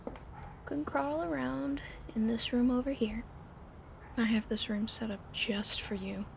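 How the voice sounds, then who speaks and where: A woman whispering an ASMR roleplay in a soft, soothing, coddling way over an audible fan hum. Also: on the high side, measured pace, creaky.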